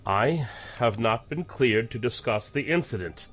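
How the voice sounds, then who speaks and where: A man playing a serious, guarded federal agent in a science fiction audio drama, speaking in a measured, formal way. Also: medium pitch, lively intonation, a bit bright. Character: guarded, serious male federal agent back from deep cover.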